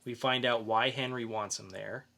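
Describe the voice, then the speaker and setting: Guy with a bright forceful voice doing a casual podcast review, kinda rambly, swears a bit. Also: medium pitch, fairly even intonation, moderate pace, gravelly. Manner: informal, swearing, rambling plot retelling. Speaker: podcast host and comic reviewer.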